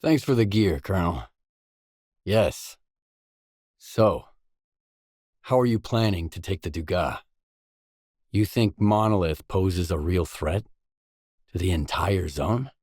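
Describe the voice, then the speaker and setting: Blunt wary merc loner from a video game, lowish voice, terse and matter of fact, super dry recording. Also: male voice, expressive, takes it slowly, long pauses, darkish tone, no echo, exaggerated voice. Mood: guarded, businesslike. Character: gruff, wary male stalker, blunt mercenary loner. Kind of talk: video game dialogue lines.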